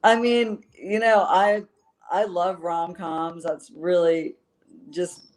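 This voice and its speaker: A woman's voice: on the low side, fairly even intonation, takes it slowly, a little mellow. Earnest woman author answering questions. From based in Los Angeles. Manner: earnest and rambling, many fillers. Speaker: author guest. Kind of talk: interview answers.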